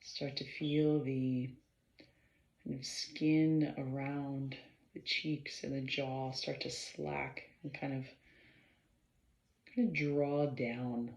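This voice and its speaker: A woman leading a guided meditation in a measured, halting way, pausing between short phrases and often clearing her throat. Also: extremely low pitch, level tone, darkish tone. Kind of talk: slow guided meditation instruction. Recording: roomy, slightly dull sound.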